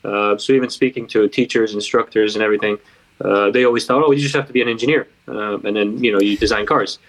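A man's voice: lowish voice, steady tone, quick pace, rough recording. Man casually telling his story in an interview. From Bulgaria, later United States. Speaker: car designer, interview guest.

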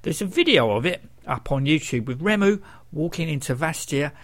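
A man's voice: fairly high pitch, expressive, normal speed. A man hosting a radio music show in a casual, informal way, with self-correcting asides.

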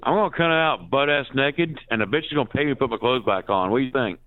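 A man's voice: mid-range voice, lively intonation, fast talker. Man, from the midwest, crude joking, profane, phone-line sound. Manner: brash, profane, mock-sultry. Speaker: guest prank caller. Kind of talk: crude prank call banter. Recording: tinny phone-line audio.

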